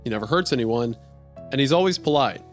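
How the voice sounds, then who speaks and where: A man's voice: medium pitch, animated, brisk. A man narrating a strange first-person horror-comedy story in a wry, conversational way. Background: faint music.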